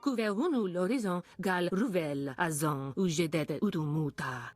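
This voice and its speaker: Actress as shady alien vendor, very deep female voice, dry recording, video game underworld dialogue. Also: moderate pace, no echo, soft background music, lightly acted. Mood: dealing. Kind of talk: video game voice acting in invented alien tongue.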